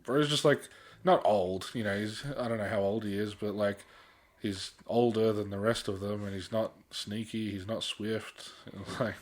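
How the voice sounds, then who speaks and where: A man's voice: lowish voice, steady tone, moderate pace. A man co-hosting a podcast in a casual, slangy chat style with some mild swearing. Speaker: podcast co-host.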